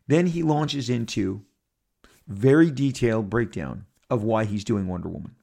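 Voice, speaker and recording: A man's voice: mid-range voice, normal speed, creaky. A man in his fifties hosting a podcast, telling a rambling story to his listeners with lots of restarts and quoted asides. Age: 50s. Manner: animated, rambling, repeats and restarts, quotes others in character. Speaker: podcast host. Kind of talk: casual storytelling monologue.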